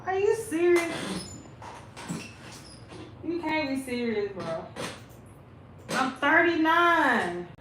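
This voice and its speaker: A woman's voice: high voice, sing-song, drawn-out words, long pauses, background noise. A woman in her late thirties, chatting casually and profanely with her viewers. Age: 30s. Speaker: livestream host. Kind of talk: casual live chat. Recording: roomy sound with music behind.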